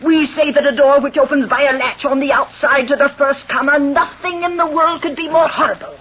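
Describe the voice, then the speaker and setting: High-voiced fussy housekeeper. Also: female voice, steady tone, a little fast, fairly bright tone. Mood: scolding, alarmed. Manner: fretful, servile, exclamatory. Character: fussy, anxious, deferential female housekeeper. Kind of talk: 1930s-40s radio drama acting. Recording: dull, noisy old radio recording.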